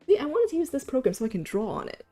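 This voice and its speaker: Lively, chatty woman animator. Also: very fast, faint music behind. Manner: chatty and self-deprecating, lively pitch. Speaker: animator walking through her animatic. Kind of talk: casual livestream chat.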